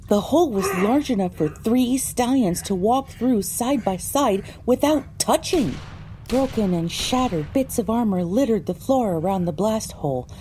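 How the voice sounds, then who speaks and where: Mellow-voiced woman narrating a story. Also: fairly high pitch, a little hiss or hum. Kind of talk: scripted audio drama narration.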